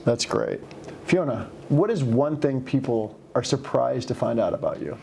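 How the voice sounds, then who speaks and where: Man, corporate interviewer, encouraging, measured, average pitch, vocal fry. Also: lively intonation, darkish tone, slight background noise. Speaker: company leader interviewing a colleague.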